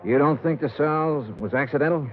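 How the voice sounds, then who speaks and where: An actor playing a wry, weary insurance investigator in a 1950s radio detective drama, with clipped, terse delivery. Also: male voice, a little high, brisk, lightly acted. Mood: guarded. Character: hard-boiled insurance investigator narrating his own case, wry, weary. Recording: tinny band-limited old radio recording, music behind.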